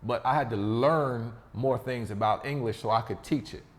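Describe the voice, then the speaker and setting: Confident storyteller with long reverb. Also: male voice, medium pitch, moderate pace. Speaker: podcast guest. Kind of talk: casual interview chat.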